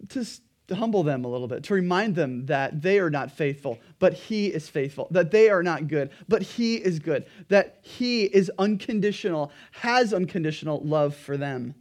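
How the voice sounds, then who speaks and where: A pastor preaching a sermon in an earnest, emphatic way, his throat a little dry and raspy. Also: a little high, lively intonation, moderate pace.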